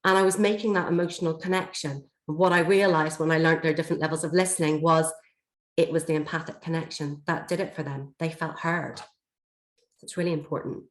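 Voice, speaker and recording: A woman, slightly hoarse from a chest infection, giving a steady, explanatory workshop talk on a video call, with frequent fillers. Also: deep voice, brisk. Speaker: learning and development trainer. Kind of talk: online workshop presentation. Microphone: computer mic on a video call. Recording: echoey room over a video call.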